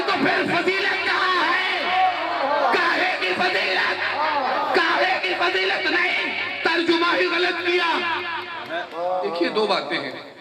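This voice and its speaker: A man delivering a religious sermon to a gathering, asking rhetorical questions, repeating phrases and rising to shouts. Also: very high-pitched. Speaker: majlis speaker. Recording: echoey, distant mic, crowd behind.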